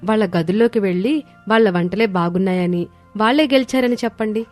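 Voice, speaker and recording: A woman voicing a gentle, selfless eldest daughter-in-law, respectful and traditional, with a polite, pleading manner. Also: medium pitch, brisk, lightly acted. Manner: polite, soft-hearted, pleading. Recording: studio voice over background music.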